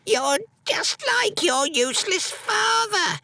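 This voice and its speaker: Storybook mother, old, sickly and bullying, very high-pitched and scolding, acted by a male reader. Dry recording. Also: big pitch swings, slow, sharp tone, no room sound, exaggerated voice. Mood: bitter rage. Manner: scolding in repetitive, inverted rustic phrases. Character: harsh, ailing, bullying old peasant mother.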